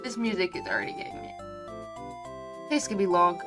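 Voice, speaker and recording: A woman's voice: fairly low pitch, very animated, fairly quick, music in the background. A woman streaming a game with casual live commentary, chatty, gushing and self-deprecating. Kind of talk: casual live commentary while reading a visual novel.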